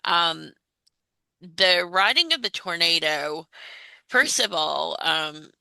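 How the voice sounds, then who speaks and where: A woman's voice: fairly low pitch, expressive, slow, gravelly, bright, forceful tone, clean tone, no room sound, poor audio. A woman interviewer asking casual questions, tentatively rephrasing them as she goes.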